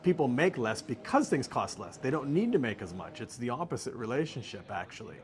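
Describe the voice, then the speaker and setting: A middle-aged American man giving relaxed, joking answers as an interview guest. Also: mid-range voice, fairly quick, faint music behind. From United States. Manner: relaxed, joking, laughs easily. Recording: faint background noise.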